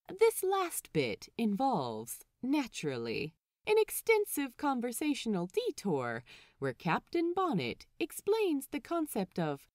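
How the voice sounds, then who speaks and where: A woman narrating a written story aloud in an animated, expressive storytelling style. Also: mid-range voice, no echo, studio quality. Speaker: podfic narrator.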